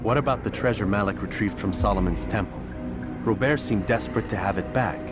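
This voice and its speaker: An actor playing a proud, defiant young assassin in a video game, blunt, clipped and serious in manner. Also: male voice, lowish voice, a little fast, over loud music, close to an everyday voice. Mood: serious, questioning. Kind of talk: dramatic video game cutscene acting.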